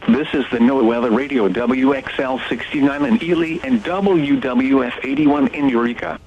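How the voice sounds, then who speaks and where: Guy reading weather radio station ids, formal and measured, dull lo-fi broadcast with some static. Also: mid-range voice, sing-song, vocal fry. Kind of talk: scripted station identification announcement.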